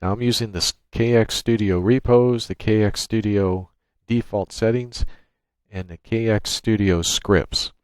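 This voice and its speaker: Low-voiced man giving a software walkthrough. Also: steady tone, unhurried, clear, resonant tone, dry recording. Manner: explanatory, step by step. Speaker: tutorial presenter. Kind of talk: informal software screencast walkthrough. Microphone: USB microphone through a noise gate.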